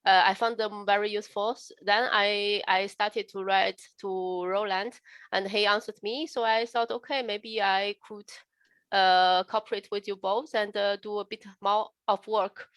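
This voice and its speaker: A woman's voice: medium pitch, steady tone, measured pace, fairly bright tone, dry recording. Chinese-accented woman, dry close sound. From China. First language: Mandarin Chinese. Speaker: guest, translator and interpreter. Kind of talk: casual self-introduction in conversation.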